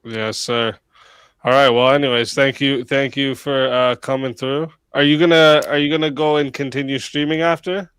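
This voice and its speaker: A man hosting and moderating a debate stream, wrapping up with rambling thanks to the chat, his voice going hoarse as he gets sick. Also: mid-range voice, fairly even intonation, moderate pace, a bit bright, dry recording. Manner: rambling, many fillers, thanks the chat at length. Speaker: debate show host and moderator. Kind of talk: casual livestream wrap-up.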